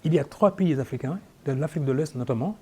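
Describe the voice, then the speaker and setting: A man's voice: fairly high pitch, quick pace, darkish tone, husky. A man interviewing a guest on television, asking long, winding questions with hesitations and frequent murmured agreement.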